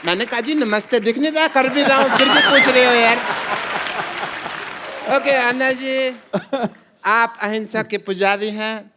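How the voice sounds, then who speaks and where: Casting: boastful buffoon doctor, very high voice, English flourishes, live sketch comedy amid audience noise. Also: male voice, unhurried, long pauses, a loud crowd. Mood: showy greeting. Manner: theatrical, Hindi peppered with English phrases. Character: bumbling, boastful comic male doctor, self-styled social worker. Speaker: comic sketch performer. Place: TV studio stage with live audience. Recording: noisy studio with loud audience.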